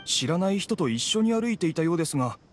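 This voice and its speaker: A man's voice: on the high side, big pitch swings, quick pace, faint music behind. A man voicing a polite, earnest young officer in an anime game, reporting in a formal, orderly way. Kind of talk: Japanese anime game voice acting.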